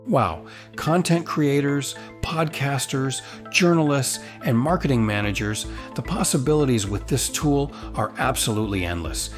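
A man's voice: mid-range voice, moderate pace, few pauses. A man hosting a tutorial with upbeat, promotional scripted narration. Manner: enthusiastic, promotional. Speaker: tutorial host. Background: background music.

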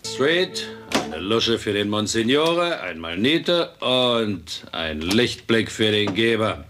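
A man's voice: lowish voice, animated, slow pace, music in the background. An actor playing a joking, big-hearted working-class husband and father in a dubbed sitcom, with playful delivery. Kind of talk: German dubbed sitcom acting.

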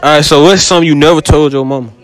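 Teasing high-voiced teen boy interviewer. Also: fairly even intonation, rapid-fire. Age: teens. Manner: teasing, crude, laughs a lot. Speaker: street interviewer. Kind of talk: casual hallway interview. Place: school hallways and classrooms, some outdoors. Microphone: handheld mic.

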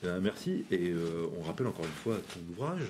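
A man hosts a history program in a low, mellow voice, thanking his guest and listeners. His speech is casual and halting, full of fillers. Faint noise sits under the recording. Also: very animated. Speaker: podcast host. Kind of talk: casual radio talk.

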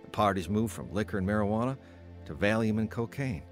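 A man's voice: fairly low pitch, expressive, fairly quick, soft background music, lightly acted. An actor playing a remorseful man, earnestly narrating his troubled, drug-addicted youth in a radio drama. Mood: reflective. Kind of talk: scripted first-person radio drama narration.

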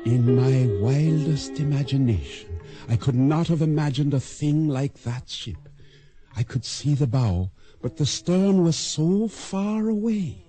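Man playing uneasy boatman telling his sea story, very mellow dark voice, measured and formal, radio drama with music. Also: fairly high pitch, lightly acted. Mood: solemn, awed. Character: cautious, uneasy male boat owner recounting a sea ordeal. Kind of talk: radio drama first-person narration.

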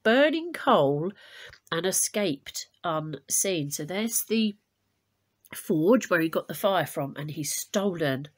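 A woman teacher reading a children's picture book aloud expressively, with deliberate, dramatic emphasis on key words. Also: fairly low pitch, sing-song. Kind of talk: expressive storybook read-aloud.

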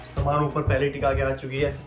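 A man's voice: mid-range voice, monotone, fairly quick. A man, chatting informally to viewers as a travel vlogger. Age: 30s. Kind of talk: casual vlog narration to camera. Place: moving between car, house and busy outdoor streets. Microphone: phone at arm's length. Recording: phone recording with music behind.

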